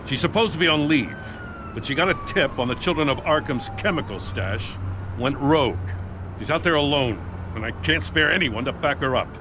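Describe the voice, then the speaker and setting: An actor playing a weary, gruff middle-aged male police commissioner in a video game, blunt and tired. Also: fairly high pitch, animated, normal speed, background noise, natural voice.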